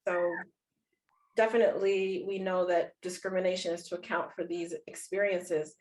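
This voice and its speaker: A woman's voice: lowish voice, flat delivery, moderate pace, a little mellow, slight background noise, low-quality recording. A woman hosting an interview, framing her questions at length and backing up her guest with supportive affirmations. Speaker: podcast host. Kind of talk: interview conversation.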